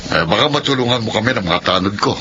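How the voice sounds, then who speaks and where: A man's voice: fairly low pitch, lively intonation, quick pace, lightly acted. A man playing a stern, determined village captain in a Filipino horror radio drama, authoritative and formal. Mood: stern, urgent. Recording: dull lo-fi radio with noise.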